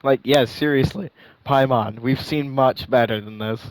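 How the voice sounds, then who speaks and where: A man's voice: mid-range voice, normal speed, no echo, low-quality recording. A man giving casual, slangy reaction commentary as a video game streamer, often in muttered asides.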